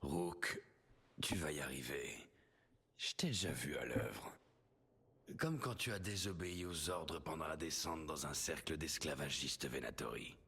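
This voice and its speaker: An actor playing a wounded veteran mentor in a game, wry, encouraging and familiar, his speech breathy. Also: rumbling low, bright, forceful tone, heavily acted.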